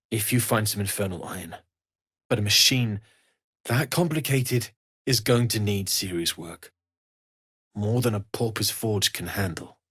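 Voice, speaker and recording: A man voicing a kindly, earnest, world-weary blacksmith in a video game, speaking softly and sincerely. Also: fairly low pitch, husky. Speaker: video game character, smith and merchant.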